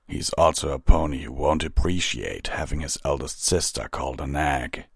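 A man voicing a stern, calm pony soldier who speaks with measured authority in a fantasy story. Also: rumbling low, gravelly, slightly rough, no room sound, clean studio sound, pitched below the performer's own voice, full character voice. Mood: measured, firm.

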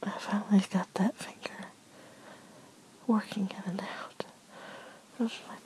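An adult woman giving an intimate, whispered first-person erotic narration in a breathy voice, drawn out and broken by moans. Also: mid-range voice, fairly even intonation, a little slow, mellow, low-quality recording.

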